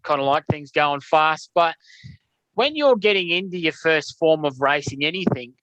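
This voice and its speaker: A man hosts a relaxed interview, pausing on ums as he asks questions. His voice is a little high and bright. The dry, dull lo-fi recording takes the shine off the top. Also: no room sound. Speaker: podcast host. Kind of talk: casual interview. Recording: dull lo-fi sound.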